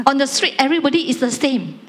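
A Malaysian woman in her forties speaks up from the audience of a large conference hall, holding a handheld mic. She talks in halting, repeated phrases, and her voice keeps climbing higher as she gets more excited. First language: Chinese. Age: 40s. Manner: animated, halting and repetitive, rising excitement. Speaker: audience member. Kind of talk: audience comment at a panel.

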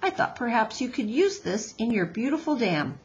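A woman plays an earnest robot mother in a children's storybook. She speaks in careful, formal phrases, polite and literal, with a melodic pitch. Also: sing-song, normal speed, lo-fi sound. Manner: formal, precise, without contractions.

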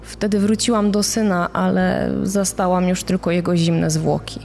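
A woman's voice: lowish voice, little pitch movement, normal speed, creaky, a little mellow, soft background music. An actress playing a bereaved wife and mother, grave and distressed, in a true-crime podcast dramatization. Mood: grieving, grave. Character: bereaved wife and mother recounting a mountain tragedy.

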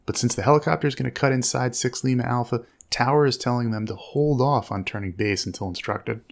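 A man giving measured, instructive commentary on air traffic audio as a narrator. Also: medium pitch, vocal fry.

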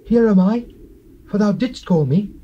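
A performer playing an obedient young boy servant in a dramatized Bible, earnest and soft-toned. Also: medium pitch, unhurried, close to an everyday voice. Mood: eager, obedient. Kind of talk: dramatized Bible audio acting. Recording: dull, noisy old cassette.

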